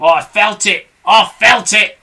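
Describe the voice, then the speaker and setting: A woman reading aloud and voicing a nasty grumpy old man who blusters and shouts. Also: medium pitch, slow pace, voice pushed up. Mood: blustering. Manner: blustering, loud.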